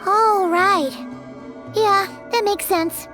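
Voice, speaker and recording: An actress voicing a tiny floating girlish game companion, chatty and excitable, in a squeaky childlike voice. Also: drawn-out words, over music, full character voice. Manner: bouncy, speaks of herself in third person. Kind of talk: video game dub acting.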